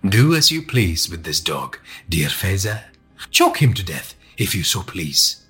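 A man voicing a sadistic male villain in an audiobook, with a silky, husky, slow and taunting menace. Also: deep voice, big pitch swings, bright, forceful tone, faint music behind, pitched below the performer's own voice, heavily acted. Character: smooth-talking sadistic male villain taunting over a radio.

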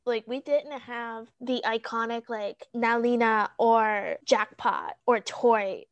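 A woman from the US Midwest co-hosts a podcast in a relaxed, slangy way. Her voice is a bit higher than usual. The recording is dry and close. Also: slow, smooth, no room sound, lo-fi sound. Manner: laid-back, slangy. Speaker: podcast co-host. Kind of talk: casual podcast chat.

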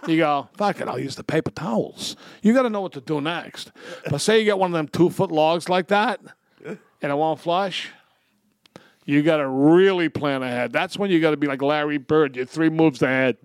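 A man co-hosting a podcast, telling crude comedic stories in a profane, animated way with frequent laughs. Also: a little high, moderate pace, dry recording, studio quality. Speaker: podcast co-host. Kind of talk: crude comedic storytelling and banter.

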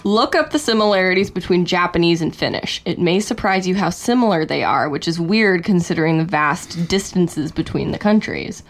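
A woman's voice: fairly low pitch, normal speed, few pauses. A woman co-hosting a casual chat, reading passages aloud and then brushing them off with a dismissive aside. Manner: reads aloud then shrugs it off with a dismissive "whatever". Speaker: podcast co-host.

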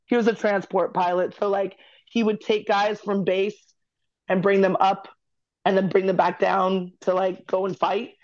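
A woman guest in a casual interview, talking candidly in a chatty, quick way. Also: medium pitch, level tone, darkish tone, muffled recording. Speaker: podcast guest, former college basketball player.

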